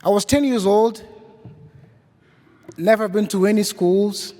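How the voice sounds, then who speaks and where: High-voiced young man from Haiti. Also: warm tone. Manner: slow, deliberate, repeating phrases. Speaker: guest speaker at Mass. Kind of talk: personal testimony to a congregation. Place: church. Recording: church hall reverb.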